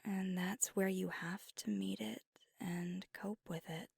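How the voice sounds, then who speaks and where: A woman voicing a gravelly-whispered young vampire. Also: mid-range voice, fairly even intonation, slow, dry recording, clean studio sound, heavily acted. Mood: wistful. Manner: soft whispering, intimate. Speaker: ASMR roleplay performer. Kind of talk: ASMR roleplay. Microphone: close mic.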